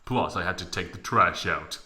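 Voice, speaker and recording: Man playing a bright, earnest young boyfriend. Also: deep voice, normal speed. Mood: polite. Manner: gentle, earnest. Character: polite, devoted young boyfriend in a fanfic. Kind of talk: mocking dramatic fanfic reading. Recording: roomy echo.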